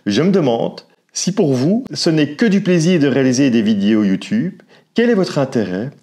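A Belgian man in his forties with a mellow, relaxed tone, chatting through a casual vlog Q&A. He talks with a Belgian French accent and pauses often to search for words. The sound is close and clean from a lapel mic on an outdoor porch. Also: medium pitch. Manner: chatty, with frequent hesitations. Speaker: vlog co-host. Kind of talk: casual Q&A chat. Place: covered porch beside a house. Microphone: clip-on lapel mic.

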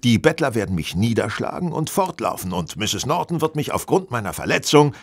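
Ein Schauspieler spielt in einem Hörspiel einen selbstsicheren, berechnenden Detektiv, der bestimmt und belehrend spricht. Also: male voice, medium pitch, big pitch swings, breathy edge. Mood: self-assured. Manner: self-assured, deliberate, instructive. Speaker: lead actor in an audio drama. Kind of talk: German audio drama dialogue.

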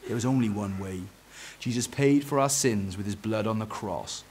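A man's voice: medium pitch, expressive, moderate pace, a little hiss or hum, lightly acted. A British man voicing a kind, forgiving father in a children's story, reassuring and instructive. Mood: gently teaching.

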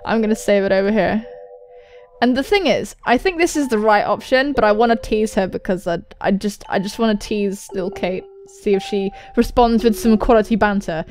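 A young woman, a gaming host, reading visual novel text aloud and commenting on it in a jokey, animated way with casual swearing. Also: medium pitch, moderate pace, soft background music. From England. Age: young adult. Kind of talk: let's play commentary and dramatic reading of on-screen dialogue. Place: indoor room. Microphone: large microphone close to the mouth.

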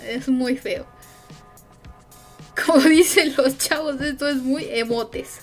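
A Mexican woman streamer talks in an unhurried, drawn-out way, her voice sitting fairly high. She rambles through casual chat, stopping often on fillers, with soft music in the background. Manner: rambling, hesitant with many fillers.

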